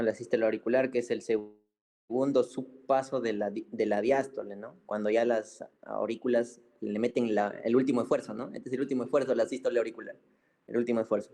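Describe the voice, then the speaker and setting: A man teaches a medical class in a mellow, relaxed voice. He explains informally and colloquially, repeats key points and keeps adding little check-in tags for his students. His pitch sits in a middle male range. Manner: didactic, colloquial, with frequent check-in tags and repetition.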